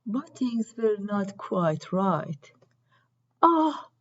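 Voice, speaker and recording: A woman reading a children's storybook aloud in an expressive, deliberate way. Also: fairly low pitch, creaky, dull audio. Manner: deliberate, acts out characters' lines. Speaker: storybook reader.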